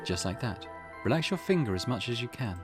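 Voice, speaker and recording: A man's voice: medium pitch, very animated, fairly quick, music in the background, close to an everyday voice. A man voicing a composed teenage schoolgirl who leads an occult ritual, reading video game dialogue aloud. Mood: calm instructing.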